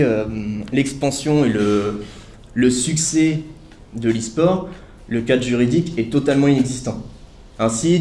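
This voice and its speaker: A man's voice: mid-range voice, mellow. Young guy presenting a talk, lots of ums and restarts, roomy echo. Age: young adult. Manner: earnest, many fillers and self-corrections. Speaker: student speaker at a study day. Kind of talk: academic talk. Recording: echoey room.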